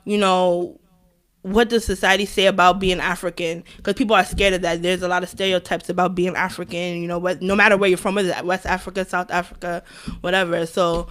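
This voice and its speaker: A woman's voice: fairly low pitch, level tone, brisk, a bit bright. A woman as a podcast guest, telling personal stories in an informal, conversational way. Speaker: podcast guest, fellow podcast host. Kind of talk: casual interview storytelling.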